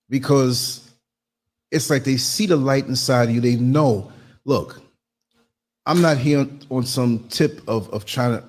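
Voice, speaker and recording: A man in his late fifties with a husky voice, hosting an informal motivational livestream monologue and repeating phrases for emphasis. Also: on the high side, darkish tone. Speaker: livestream host and podcaster.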